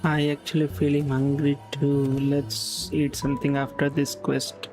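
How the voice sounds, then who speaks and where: A man's voice: fairly high pitch, completely flat delivery, measured pace. A man live-streaming a game, chatting casually in short remarks. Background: game music.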